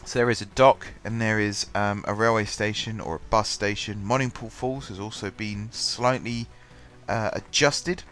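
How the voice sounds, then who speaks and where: A man's voice: on the low side, steady tone, moderate pace, few pauses, fairly bright tone. Congested man with a cold. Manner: rambling, many ums and restarts. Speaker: gaming channel host. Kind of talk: casual gameplay commentary. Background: faint background music.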